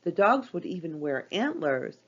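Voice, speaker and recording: A woman's voice: lowish voice, very animated, unhurried, muffled recording. A woman voicing a cheery, welcoming woman innkeeper full of holiday cheer in a children's storybook. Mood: cheerful.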